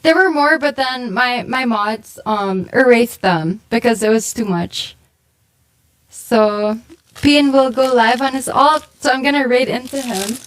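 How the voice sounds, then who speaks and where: A woman's voice: a little high, low-quality recording. A woman streamer reading viewer confessions aloud and reacting in casual chat. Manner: exasperated, quick reading aloud.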